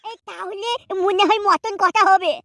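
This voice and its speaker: Someone voicing a squeaky, cheeky cartoon schoolboy. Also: steady tone, normal speed, creaky, pushed and bright, dry recording, studio quality, heavily acted. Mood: eager. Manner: boastful, whiny when caught out. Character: cheeky loud-mouthed schoolboy. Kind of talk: cartoon voice acting.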